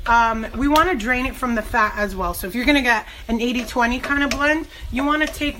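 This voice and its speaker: A woman's voice: fairly high pitch, pushed and bright. A woman hosting a cooking show, chatting and joking with viewers as she cooks in a kitchen. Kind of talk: casual cooking demonstration. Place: kitchen by the stove. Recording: frying sizzle noise in a kitchen.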